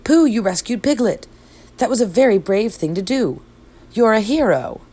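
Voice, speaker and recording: A woman's voice: fairly high pitch, animated, moderate pace, mellow, voice pushed up. A woman voicing a kind young boy in a storybook, earnest and encouraging. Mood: proud, encouraging. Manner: earnest, praising. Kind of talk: storybook read-aloud.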